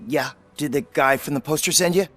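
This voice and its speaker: An actor playing a self-righteous but cowardly vandal in a video game, with a whiny, theatrical manner. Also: male voice, fairly high pitch, a little fast, a bit bright, soft background music. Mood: indignant.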